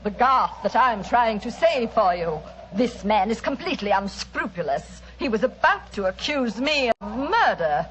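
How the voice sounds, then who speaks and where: An actress playing a menacing, domineering older woman guardian in 1940s radio drama, commanding, mocking and deliberate. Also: on the high side, big pitch swings, fairly bright tone. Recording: noisy 1940s radio recording.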